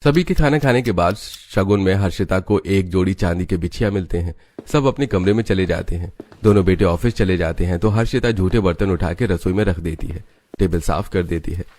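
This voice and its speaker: A man's voice: low voice, darkish tone, no echo. A man narrating a scripted family story in Hindi cartoon style.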